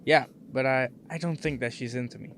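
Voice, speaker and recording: A man's voice: medium pitch, a little fast, fairly bright tone, a little hiss or hum, natural voice. An actor playing an earnest, shy young man in an audio drama, with a hesitant way of talking. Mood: casual, unsure. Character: John, devoted young husband, also shy teenage student.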